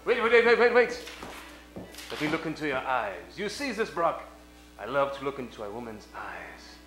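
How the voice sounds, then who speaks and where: A man's voice: medium pitch, big pitch swings, normal speed, a bit bright. A man acting a scheming, lovelorn foreign villain in a comedic radio play, with a pompous, theatrical manner and a foreign accent. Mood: melodramatic. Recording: roomy live stage sound, faint music.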